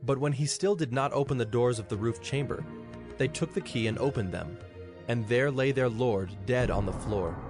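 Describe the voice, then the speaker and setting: Male scripture narrator, mid-pitched, scripted and solemn, musical score clearly behind. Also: music in the background. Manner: solemn, measured storytelling.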